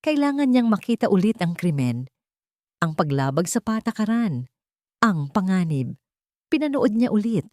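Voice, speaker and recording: A woman's voice: mid-range voice, sing-song, moderate pace, long pauses, a little mellow, no echo, studio quality. A woman narrating a scripted dramatic story in an emotive way, with short, weighted phrases.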